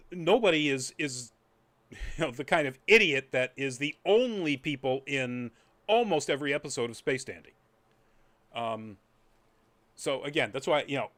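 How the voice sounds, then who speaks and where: A man's voice: medium pitch, fairly bright tone. A man giving a casual, rambling spoken review as a podcast host, trailing off into asides.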